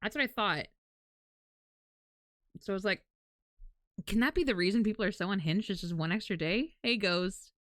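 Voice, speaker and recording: A woman's voice: medium pitch, moderate pace, long pauses. A woman chatting casually as a livestreamer, candid and freely swearing, telling things in a dramatic, self-deprecating way. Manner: animated, dramatic storytelling.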